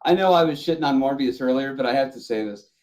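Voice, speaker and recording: A man's voice: medium pitch, lively intonation, fast, a little mellow, slight background noise. A man bantering casually on a podcast panel, opinionated and loose with swearing.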